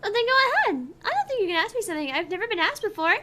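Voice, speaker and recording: Very high, bright, cutesy android girl. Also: big pitch swings, racing, a little hiss or hum, full character voice. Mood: brazen, teasing. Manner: cutesy, forward, teasing.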